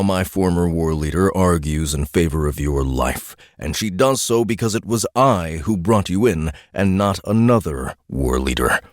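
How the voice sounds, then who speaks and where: A proud, menacing beast war leader in a fantasy audiobook, performed by a man. He speaks low and formally, with solemn, cold authority, recorded dry and close. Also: dry recording. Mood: stern, ominous.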